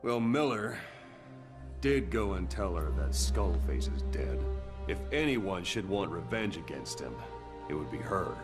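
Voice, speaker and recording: A man acting a grave, wry soldier in a video game cutscene, briefing in a measured, matter-of-fact way. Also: sits low, big pitch swings. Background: music.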